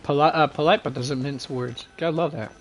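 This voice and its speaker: A man livestreaming a video game, chatting casually in a halting, rambling way. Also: a little high, fairly even intonation, a little hiss or hum. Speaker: game streamer. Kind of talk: casual livestream chat over gameplay.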